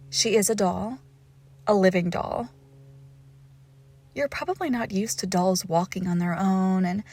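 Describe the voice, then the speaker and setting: A woman playing a lovesick, possessive young witch in an intimate roleplay audio, cooing haltingly and tenderly with a creepy edge, often dropping into whispers. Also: medium pitch, vocal fry. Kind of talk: intimate roleplay audio acting, close to the listener.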